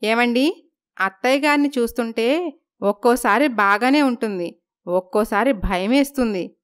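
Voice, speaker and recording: Woman playing a young bride, meek, deferential, eager to please, highish smooth voice, moral story. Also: a little slow, close to an everyday voice. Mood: timid, grateful. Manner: deferential, polite.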